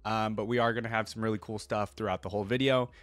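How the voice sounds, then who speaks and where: A man's voice: on the low side, steady tone, fast talker, dry recording. A man hosting a reaction video, speaking conversationally as he comments and reads lore aloud. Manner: conversational, interjects his own reactions while reading. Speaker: YouTube reaction host.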